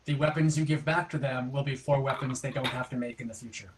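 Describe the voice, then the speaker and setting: A man running an online tabletop game as game master, narrating off the cuff and haltingly, thinking aloud. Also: medium pitch, steady tone, background noise.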